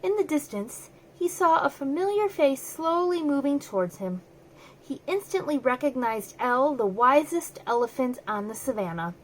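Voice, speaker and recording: A woman with a high voice narrates a storybook for children as the host of a story time. The recording carries a faint layer of noise. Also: lively intonation, normal speed, poor audio.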